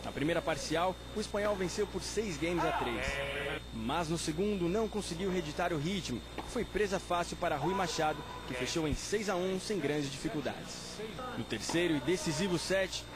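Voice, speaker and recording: A man's voice: high-pitched, moderate pace, background noise. A man reading a scripted sports news report as a voice-over.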